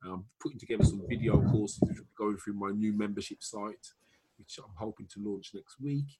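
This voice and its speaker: A man's voice: fairly low pitch, fairly quick, very noisy. A man with a Welsh accent talking business in a casual video call, chatty, laughing often and dropping punchy asides. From Wales. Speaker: marketing consultant.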